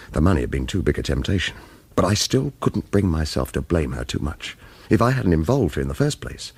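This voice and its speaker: An actor playing a gruff, sardonic ex-agent held captive in a radio thriller, terse and husky. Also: male voice, a bass voice, no echo, heavily acted. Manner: terse, hesitant under pressure, sardonic.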